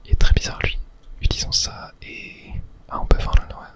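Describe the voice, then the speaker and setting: Someone whispering softly and breathily, thinking aloud in a casual ASMR gameplay commentary. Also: a little fast. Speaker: video game streamer narrating play.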